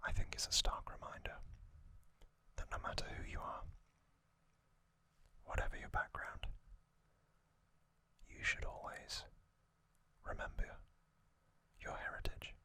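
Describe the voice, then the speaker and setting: A man hosting ASMR, narrating in a soft, breathy whisper with a gentle, reflective manner and pauses, close to the microphone. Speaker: ASMR video host.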